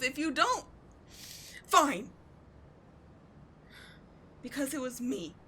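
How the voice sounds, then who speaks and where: A passionate, embittered villainess, acted by a woman in a dramatic audio roleplay. Her voice sits on the high side and she speaks with theatrical, emphatic intensity, devotion showing under the scorn. There is noticeable noise behind the voice. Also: fairly bright tone. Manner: theatrical, intense, emphatic, with sighs and dropped whispers. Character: bitter, passionate female villain secretly devoted to a wounded sidekick. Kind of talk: dramatic audio roleplay monologue addressed to the listener.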